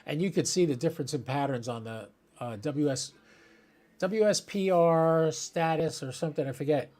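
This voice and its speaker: A man's voice: on the high side, normal speed, darkish tone. A man hosting a hobby channel, giving an informal live demonstration and thinking aloud as he works at his computer. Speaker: host of a ham radio channel. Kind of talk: casual live demonstration, talking to viewers.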